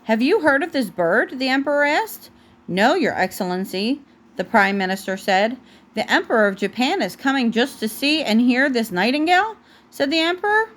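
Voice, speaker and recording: Higher-voiced mother reading a bedtime story. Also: lively intonation, fairly bright tone. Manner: halting, often breaking off to correct the child. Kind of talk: storybook read aloud at home, with asides to a child.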